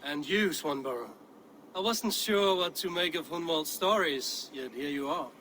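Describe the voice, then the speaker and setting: An actor playing a stern, steady Viking warrior in a game cutscene, speaking in a blunt, measured, weighty way. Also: male voice, on the high side, slight background noise. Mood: steady, wary. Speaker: video game lead character. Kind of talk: dramatic game cutscene acting.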